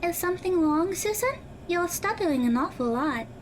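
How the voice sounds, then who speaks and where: A woman playing an earnest, studious high school girl in an audio drama, speaking in precise, formal phrases over music. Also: lively intonation, normal speed, a little hiss or hum. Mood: concerned.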